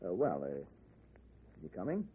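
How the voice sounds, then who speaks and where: A man's voice: low voice, huge pitch swings, unhurried, heavily acted. An American actor playing a chatty, jovial married traveling salesman in a 1940s-style radio drama, with folksy, hesitant talk. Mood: friendly, reassuring. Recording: tinny, noisy old radio broadcast recording.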